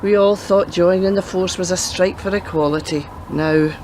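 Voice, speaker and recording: A woman in a radio play acting a world-weary, sceptical grandmother who used to be a policewoman, speaking in a dry, measured way. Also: on the low side, steady tone, a little mellow, a little hiss or hum, natural voice. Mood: weary, reflective.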